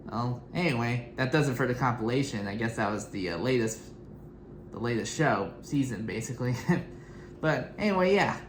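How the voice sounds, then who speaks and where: Young female reaction host, very deep voice, halting casual commentary in a roomy space. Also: lively intonation, slight background noise. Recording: roomy echo, clear music behind. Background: music from the intros being watched.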